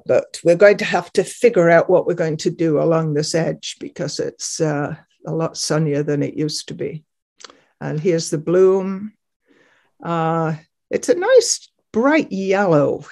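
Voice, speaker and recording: Older woman, gardener presenting slides on a video call. Slow, chatty, hesitant, informal delivery. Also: low-pitched, darkish tone. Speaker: gardener giving a garden tour. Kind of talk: informal narration of a photo slideshow. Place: at home indoors, on a video call. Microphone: computer microphone on video call.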